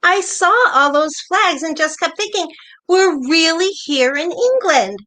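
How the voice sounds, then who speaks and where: A woman's voice: very high voice, lively intonation, measured pace, pushed and bright, heavily acted. A woman playing a playful, bossy older wife reminiscing fondly with a spouse in a staged play reading. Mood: wistful, delighted. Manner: teasing, nostalgic, conversational.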